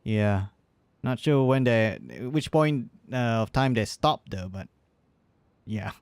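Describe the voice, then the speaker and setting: A man's voice: medium pitch, takes it slowly, smooth, no echo. A man giving casual, rambling live commentary on a game stream, swearing freely at times.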